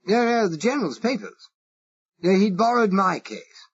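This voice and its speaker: A man's voice: high voice, animated, moderate pace, husky, pitched above the performer's own voice. A man voicing a flustered, pompous upper-class Englishman with an exaggerated English accent. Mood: flustered posh. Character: posh Englishman feigning fussy secretary. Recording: dull lo-fi recording.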